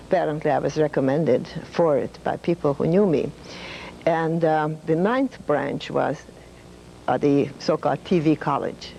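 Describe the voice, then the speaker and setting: Older Hungarian-accented woman, retired professor, gravelly, measured reminiscing. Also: sits low, a little hiss or hum, poor audio. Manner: measured, with fillers and soft laughs. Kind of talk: reflective storytelling.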